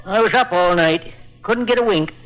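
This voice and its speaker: A man's voice: high voice, moderate pace, muffled recording. A man playing a dour, folksy old New England farmer with a rural accent in an old radio comedy. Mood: dry, folksy. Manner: deadpan, folksy. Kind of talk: 1940s radio comedy acting. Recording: very noisy old radio recording.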